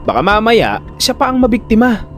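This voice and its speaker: A man's voice: huge pitch swings, a little fast, a bit bright, faint music behind, exaggerated voice. A man playing an anxious, protective older brother who tells his suspense story in first person, with dramatic narration and acted dialogue. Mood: worried. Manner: dramatic storytelling, exasperated and high-pitched in dialogue.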